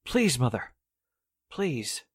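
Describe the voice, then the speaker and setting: A man's voice: medium pitch, sing-song, extremely slow, husky, no echo, clean studio sound, exaggerated voice. Man acting hesitant, polite son under suspicion. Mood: resigned, pleading. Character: evasive, mild-mannered grown son under suspicion. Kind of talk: 1940s-style radio drama acting.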